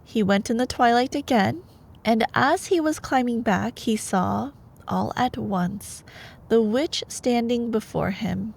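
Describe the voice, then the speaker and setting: A woman in an ASMR bedtime storytelling style, expressive and playful, like a girlfriend reading aloud. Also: on the high side, slow, vocal fry, slightly rough.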